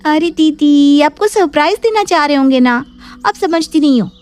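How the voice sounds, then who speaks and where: A woman voicing an excitable, teasing young woman with a playful, gushing manner in a cartoon story. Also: high voice, normal speed, no echo, soft background music, pitched above the performer's own voice. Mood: giggly, excited.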